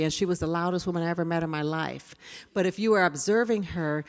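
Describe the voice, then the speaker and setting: Woman in her fifties, workshop trainer with a quiet, low voice, telling personal stories. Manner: storytelling, conversational. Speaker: diversity trainer. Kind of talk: workshop talk.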